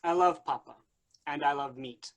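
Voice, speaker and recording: Casting: male reader as blunt childlike monster pet, highish voice, devoted and savage, comic stage role. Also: moderate pace, lightly acted. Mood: naive menace. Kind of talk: staged play reading.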